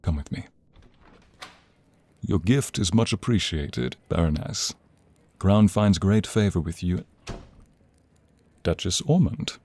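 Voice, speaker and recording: A man playing a devoted, regal king in love in a romantic ASMR audio drama, speaking intimately in a breathy voice with courtly phrasing. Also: animated, slow pace, pauses often, darkish tone, no room sound, full character voice. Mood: regal, formal. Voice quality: deep, breathy, often whispering.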